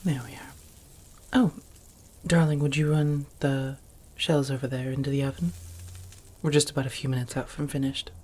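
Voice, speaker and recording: A soft-spoken woman acting an affectionate, refined female vampire roommate in an ASMR roleplay, often near a whisper, with formal phrasing and coy pet names. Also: very deep voice, fairly even intonation, moderate pace. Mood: warm, impressed.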